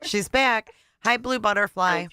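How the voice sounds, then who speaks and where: A woman with a bright, forward voice on a close, dry mic. She hosts a casual live chat, bluntly bossing and teasing her guests. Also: medium pitch, a little slow, clear, resonant tone, no echo. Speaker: host of a live call-in stream.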